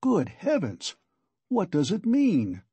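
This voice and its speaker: A man's voice: a little high, big pitch swings, a little slow, warm tone, husky, dry recording, pitched above the performer's own voice, exaggerated voice. A man voicing a sly, chatty investigator in an audiobook, wheedling and exclaiming with theatrical concern. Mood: alarmed. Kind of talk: audiobook character acting.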